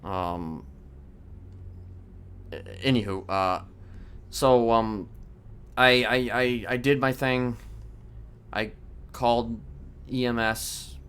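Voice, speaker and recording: A man playing a casually sadistic criminal in an online roleplay, talking in a halting, rambling, matter-of-fact way with casual swearing. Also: medium pitch, a bit bright. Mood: rambling, self-satisfied. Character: rambling, casually sadistic male criminal confessing his violent deeds. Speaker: streamer role-playing a character. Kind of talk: improvised in-character roleplay dialogue. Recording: clear background noise.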